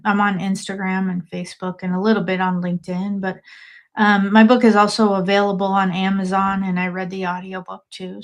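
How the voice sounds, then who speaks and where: A woman in her fifties as a podcast guest, speaking in a measured, reflective way. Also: mid-range voice. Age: 50s. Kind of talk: interview answers.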